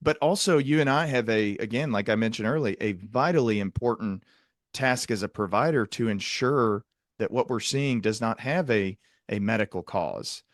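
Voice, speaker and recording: A man hosting a conversational interview in a reflective way, building long, qualified sentences with pauses. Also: lowish voice, dry recording, clean studio sound. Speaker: podcast host, psychiatric provider.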